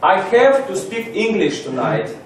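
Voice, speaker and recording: A Russian man speaking English as a second language, giving a halting seminar introduction with many pauses. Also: high voice, sing-song, very noisy. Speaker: lecturer. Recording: roomy echo from far off.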